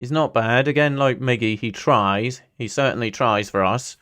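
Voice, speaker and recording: A man with a smooth, clean voice at an average pitch hosts a football livestream. He is from Singapore and talks casually to viewers as a fan. His delivery rambles, hopping between chat and commentary on the play. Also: normal speed, a bit bright. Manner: rambling, chatty, jumps between anecdote and play-by-play. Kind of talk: casual match watch-along commentary, chatting with viewers.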